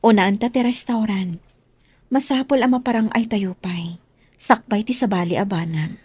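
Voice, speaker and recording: Actress as very raspy, gentle young Ilocano sweetheart. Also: female voice, mid-range voice, moderate pace, no echo, natural voice. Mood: affectionate. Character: gentle, affectionate, practical young woman being courted. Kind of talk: Ilocano radio drama acting.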